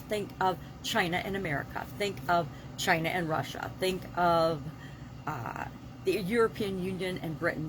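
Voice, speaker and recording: Female vlogger-coach, lowish pitch, emphatic and opinionated, unscripted delivery, noticeable ambient rumble behind her. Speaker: vlog host and business coach. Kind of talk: unscripted motivational vlog talk.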